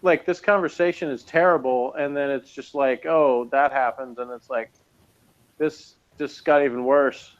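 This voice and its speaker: Casting: tired man, mid pitch, rambling co-host, muffled lo-fi sound. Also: fairly even intonation, moderate pace. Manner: rambling, hesitant, many fillers. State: tired, yawning. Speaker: podcast co-host reviewing a TV episode. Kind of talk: casual chat.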